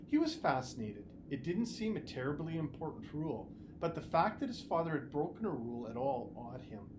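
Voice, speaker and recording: A man reading a novel aloud in an expressive storytelling style, with emphatic, deliberate phrasing and short pauses. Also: on the high side. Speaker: school superintendent reading aloud. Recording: noticeable background noise and ambience.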